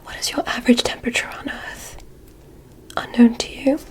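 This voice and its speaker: A woman voicing a gentle, curious alien doctor in an ASMR medical roleplay, whispering soothing, softly formal instructions. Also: fairly high pitch, fairly even intonation, moderate pace, natural voice, low-quality recording. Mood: quietly fascinated. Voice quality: breathy whisper.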